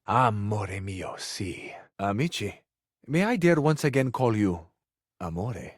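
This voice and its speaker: Melodramatic Italian romantic cabinet, dry close sound. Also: male voice, medium pitch, dry recording. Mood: tender, yearning. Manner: florid, dramatic, wounded romantic phrasing. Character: passionate, melodramatic Italian male living cabinet, sensitive romantic. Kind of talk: theatrical video game character acting.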